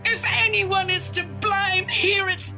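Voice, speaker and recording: A doting middle-aged mother speaks slowly in a high, bright voice over faint music. She is effusive, pleading and protective, in naturalistic audio drama style. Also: little pitch movement, exaggerated voice. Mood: distressed, pleading. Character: emotional, doting, protective mother of grown sons.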